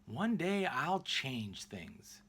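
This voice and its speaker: A man's voice: mid-range voice, big pitch swings, very slow, gravelly, fairly bright tone, husky, heavily acted. A man voicing an earnest, determined young boy in a storybook, speaking plainly and emphatically.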